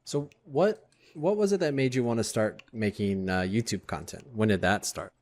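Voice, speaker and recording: Relaxed male host asking questions. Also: on the low side, normal speed. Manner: relaxed, curious questioning with short acknowledgements. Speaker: podcast host. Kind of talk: casual interview questions.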